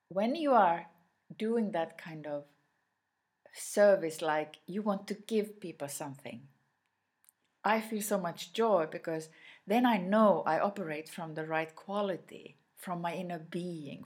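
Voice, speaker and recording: A woman whose native language is Swedish, giving an unscripted inspirational talk straight to the listener in a reflective way, often pausing mid-phrase. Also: fairly low pitch, darkish tone, husky. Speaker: inspirational speaker.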